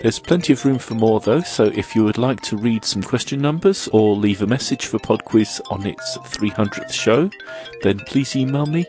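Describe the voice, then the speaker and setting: A man hosting an audio quiz podcast, reading the questions in a measured way, his voice a little croaky from a cold. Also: fairly low pitch, over music. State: has a cold, slightly croaky. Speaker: quiz host.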